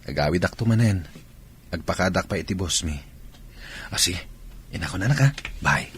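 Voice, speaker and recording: A man's voice: sits low, expressive, moderate pace, fairly bright tone, slight background noise. A man playing a soldier and father in a radio drama, speaking firmly. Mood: gentle. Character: army father, busy and tender.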